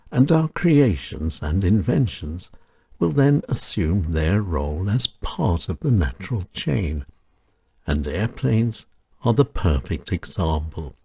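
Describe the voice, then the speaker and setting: A man hosting his own show, reading his own reflective essay in a measured, solemn manner. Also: low voice, darkish tone, slightly rough, no room sound. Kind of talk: scripted reflective narration.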